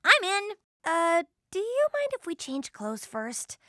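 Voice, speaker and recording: A bubbly teen heroine. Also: female voice, very high-pitched, slow pace, dry recording, clean studio sound, exaggerated voice. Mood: excited. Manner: excitable and chatty. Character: bubbly, impulsive teenage heroine.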